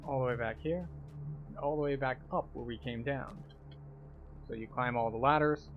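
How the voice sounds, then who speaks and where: A man's voice: mid-range voice, normal speed, narrow, tinny audio, over music. A man giving casual, explanatory commentary as a tour guide through a video game.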